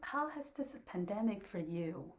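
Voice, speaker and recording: Woman interviewer, mid pitch, brief encouraging questions. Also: animated, unhurried.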